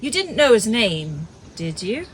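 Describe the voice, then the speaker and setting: A woman reading an audiobook, voicing a crafty, blustering one-legged male pirate cook with loud sea-dog talk. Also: medium pitch, big pitch swings, measured pace, slight background noise.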